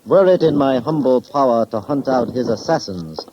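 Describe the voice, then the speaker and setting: A man's voice: mid-range voice, animated, normal speed, dark tone, lightly acted. A man acting as a smug professional in a 1950s radio crime drama, with ornate, pompous, mocking phrasing. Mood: mock-grand. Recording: dull, hissy vintage radio recording.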